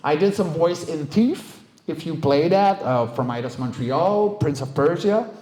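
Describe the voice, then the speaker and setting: A middle-aged man giving an informal talk about his work as a voice performer, telling anecdotes in an animated, casual way. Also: a little high, a little slow. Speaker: voice actor, director and coach giving a talk. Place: indoor event room, live talk. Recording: roomy, echoey live talk recording.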